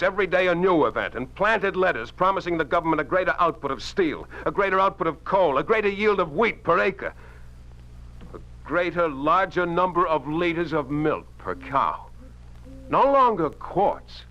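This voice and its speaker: Fiery american newspaper editor, old radio play, swoops around a lot, bright forceful voice. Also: male voice, on the high side. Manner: emphatic, oratorical. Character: defiant, principled male newspaper editor, bitterly outspoken. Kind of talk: 1950s radio drama acting. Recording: dull lo-fi 1950s radio recording.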